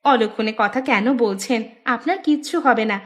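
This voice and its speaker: A woman's voice: on the high side, normal speed, lightly acted. A woman voicing a self-serving village housewife in a cartoon, fussing and coaxing with false concern. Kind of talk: Bengali cartoon voice acting.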